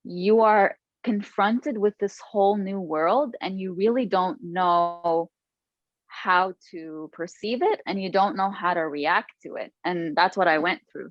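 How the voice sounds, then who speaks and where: Lebanese-born woman guest, thoughtful and measured, low pitch, dry muffled lo-fi audio. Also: no room sound. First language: Arabic. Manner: thoughtful, slow, candid, with restarts. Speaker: guest author. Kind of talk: reflective personal interview.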